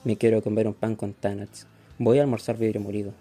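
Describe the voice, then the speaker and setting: Dark, monotone Chilean schoolboy loser played by a man. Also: on the low side, fairly quick, husky, faint music behind. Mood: bitter, dejected. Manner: flat, deadpan listing of failings. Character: bullied, bitter, self-loathing schoolboy loser. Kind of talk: radio play monologue.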